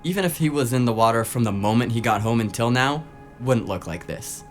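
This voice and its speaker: Guy doing a snarky mouthy tech sidekick, mid-pitched, casual banter, radio drama, faint music behind. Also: expressive, brisk, lightly acted. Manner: snarky, colloquial. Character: brash, foul-mouthed, teasing male tech sidekick. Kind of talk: noir audio drama acting, casual banter.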